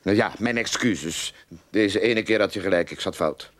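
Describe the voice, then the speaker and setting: A man's voice: fairly low pitch, moderate pace, noisy background, lightly acted. A male actor playing a brusque private detective with oily politeness in a radio play. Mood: apologetic bluster. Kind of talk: Dutch-language radio play acting.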